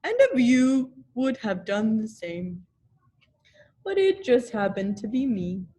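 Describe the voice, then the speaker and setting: A gloomy old male donkey from a children's storybook, acted out by a woman reader. He speaks very slowly and ponderously, with a self-important, put-upon air. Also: fairly high pitch, big pitch swings, mellow, full character voice. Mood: pompous, self-important. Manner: slow, ponderous speechmaking. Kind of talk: children's storybook read-aloud.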